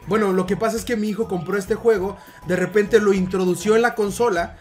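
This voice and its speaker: A man's voice: high voice, steady tone, brisk, soft background music. A young man speaking politely and hesitantly on a prank phone call, playing a concerned father. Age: young adult. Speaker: prank caller posing as a father. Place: room at home, indoors.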